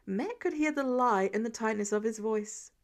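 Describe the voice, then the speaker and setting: A woman reading fiction aloud in an expressive audiobook narration style. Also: a little high, moderate pace. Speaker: fan fiction reader.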